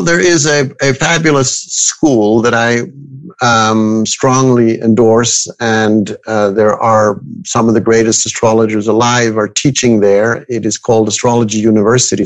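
A man in his fifties from Switzerland speaking as an expert guest in an interview, reflective and halting, repeating phrases for emphasis. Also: lowish voice, fairly even intonation, rough recording. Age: 50s. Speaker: guest expert, astrologer and coach. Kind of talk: interview conversation.

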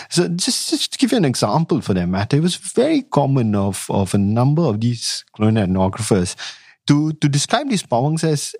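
A man's voice: medium pitch, a little mellow, husky, no room sound, clean studio sound. A man from Singapore, an academic researcher answering interview questions thoughtfully, with frequent restarts. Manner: thoughtful, many restarts and hedging fillers.

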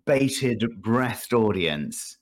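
A man's voice: fairly low pitch, animated, extremely slow. A man chatting casually on a video game podcast, with a jokey, teasing manner and dry mock grandeur. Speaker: podcast guest. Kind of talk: casual podcast banter about video games.

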